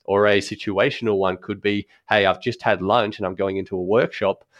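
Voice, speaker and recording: A man explaining accessible design in a relaxed podcast conversation, thoughtful, with frequent ums and restarts. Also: lowish voice, fairly quick, dry recording, lo-fi sound. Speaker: guest expert on accessible design.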